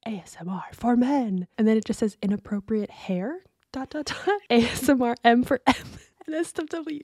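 Higher-voiced playful woman, dry close recording. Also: normal speed, warm tone, no echo. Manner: playful, opinionated, exclamatory. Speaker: podcast co-host. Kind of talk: casual comedic chat.

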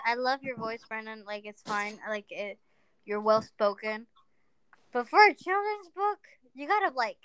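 A woman's voice: fairly high pitch, a bit bright, clean tone, poor audio. Chatty woman with a Venezuelan accent. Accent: Venezuelan Spanish. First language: Spanish. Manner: chatty storyteller, lots of fillers. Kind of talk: casual chat.

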